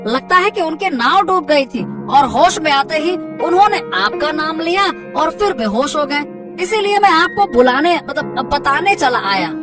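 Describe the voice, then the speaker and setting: Cartoon village fisherman, high voice, eager, urgent repetitions, distant under loud music. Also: expressive, moderate pace. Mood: urgent, respectful. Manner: exclaiming, repeats words urgently. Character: excitable young village fisherman, earnest and eager. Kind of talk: Hindi cartoon moral story acting.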